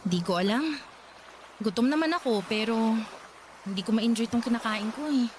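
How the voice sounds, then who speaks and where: A woman's voice: a little high, moderate pace, close to an everyday voice. Actress as uneasy young woman over noisy ambience. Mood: troubled, uneasy. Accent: Filipino. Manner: probing, hesitant. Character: uneasy, suspicious young working woman in love. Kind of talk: radio drama dialogue.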